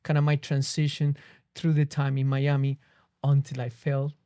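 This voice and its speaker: A man's voice: mid-range voice, monotone, normal speed, mellow, no echo, clean studio sound. A man with a Colombian accent, a native Spanish speaker, telling his story reflectively as a podcast guest. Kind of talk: personal storytelling in a conversation. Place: small podcast studio. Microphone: close boom-arm studio microphone.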